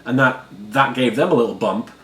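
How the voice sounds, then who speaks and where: A man with a froggy, hoarse voice, chatting casually and self-deprecatingly as a YouTuber unboxing a subscription box. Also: medium pitch, a little fast. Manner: self-deprecating, rambling, quiet-natured. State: hoarse, froggy voice after studio work. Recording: echoey room with faint background ambience.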